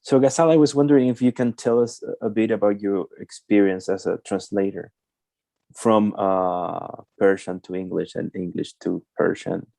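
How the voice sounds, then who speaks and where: A man from Chile, a translator, asking questions on a video call in a halting way, often repeating words. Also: lowish voice, fairly even intonation, vocal fry, dark tone, no room sound. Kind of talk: online literary conversation.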